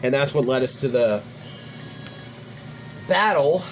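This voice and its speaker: Male let's play host, mid-pitched, reading story scenes aloud and chatting casually, music behind him. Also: animated, slow. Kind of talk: reading game dialogue aloud with casual commentary.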